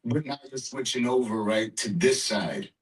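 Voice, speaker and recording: Male, mid-pitched voice, casual conversation, off-mic. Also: animated, normal speed, far from the mic, low-quality recording.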